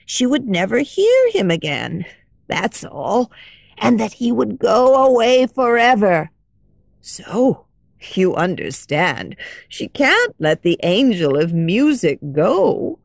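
A woman voicing a simple, fond old woman who speaks calmly and cheerfully in a high, lilting way. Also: creaky, no room sound, heavily acted.

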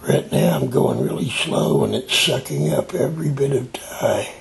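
An older man with a raspy voice, demonstrating a craft step by step while he works. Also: mid-range voice, wildly swooping pitch. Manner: unhurried, explaining while he works. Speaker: tie-dye hobbyist demonstrating. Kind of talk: slow hands-on craft demonstration.

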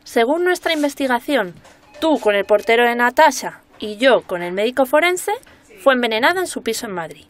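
An actress playing an inquisitive, excitable woman journalist in a radio drama, colloquial and expressive. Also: on the high side, a little fast, close to an everyday voice. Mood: focused recounting. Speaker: voice actor in a radio serial. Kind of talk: naturalistic Spanish radio drama dialogue.

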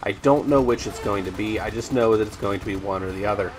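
A man commentating over his own gameplay, chatty and rambling with ums and restarts, his voice slightly raspy today. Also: lowish voice, quick pace, soft background music, rough recording. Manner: rambling, frequent ums and restarts, addresses viewers as guys. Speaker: gaming YouTuber.

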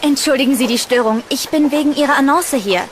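A woman's voice: high-pitched, fast, slight background noise, close to an everyday voice. A woman playing a polite, earnest young student in an audio drama. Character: polite, slightly timid young female student.

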